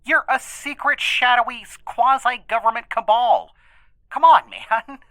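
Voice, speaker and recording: A man's voice: very high voice, measured pace, sharp tone, dry recording, heavily acted. A man voicing an easygoing, chatty male interviewee in an audio drama, with a cheeky, slightly stammering delivery.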